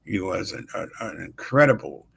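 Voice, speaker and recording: Guy in his 60s hosting a livestream, gravelly and kinda husky, rambles and stops and starts, shouts out viewers. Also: low-pitched, animated. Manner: rambling, halting, many fillers; greets viewers warmly by name. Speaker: show host. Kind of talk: casual live-stream talk.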